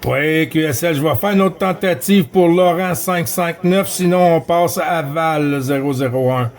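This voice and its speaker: A man's voice: fairly high pitch, measured pace. A man with a Quebec French accent running a CB radio session, speaking courteously in operator jargon. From Quebec, Canada. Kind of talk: CB radio contact chat.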